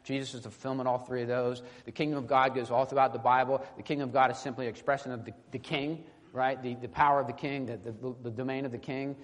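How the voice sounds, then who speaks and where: A man preaching an informal, teaching-style sermon in a halting, conversational way, often checking in with short tag questions. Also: medium pitch, flat delivery. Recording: echoey hall with long reverb.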